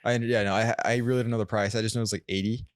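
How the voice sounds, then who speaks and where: Young male sales closer as a podcast guest, lowish pitch, dry close sound, lively storyteller. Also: rapid-fire, no room sound. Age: young adult. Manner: animated storyteller, acts out his own quotes. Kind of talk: casual interview chat.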